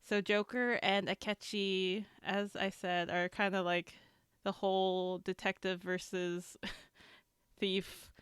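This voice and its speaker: A woman on a podcast panel casually explaining things to fellow fans in a halting, hedging way. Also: mid-range voice, little pitch movement, fairly bright tone, clean tone, no room sound, studio quality. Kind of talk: casual explanatory fan chat.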